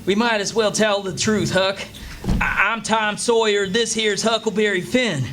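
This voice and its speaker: A man's voice: high-pitched, fairly even intonation, measured pace. An actor playing a cocky, adventure-hungry country boy who schemes eagerly in an old-time radio play. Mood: earnest, boastful. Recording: live stage recording with faint noise.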